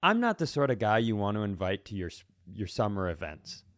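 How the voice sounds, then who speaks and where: A man's voice: lowish voice, sing-song, brisk, fairly bright tone, no room sound, studio quality. A man co-hosting a podcast, telling casual comic stories in a wry, rambling way. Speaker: podcast co-host. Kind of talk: casual comedic storytelling.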